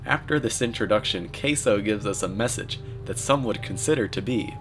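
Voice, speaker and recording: Guy doing deadpan video commentary, kinda deep voice, music playing behind him. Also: normal speed. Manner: deadpan, mock-serious. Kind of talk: scripted commentary narration. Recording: voice-over with music underneath.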